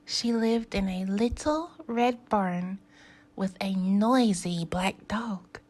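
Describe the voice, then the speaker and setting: A woman teacher reading a children's story aloud, with deliberate phrasing and pauses for young learners. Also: mid-range voice, darkish tone.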